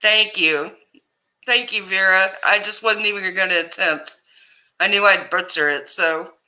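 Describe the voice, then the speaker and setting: Woman, livestream host, loud carrying voice, unhurried, very bright tone, chatty. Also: medium pitch, poor audio. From Ohio, USA. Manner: loud voice that carries, chatty and laughing. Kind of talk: casual livestream chat greeting viewers.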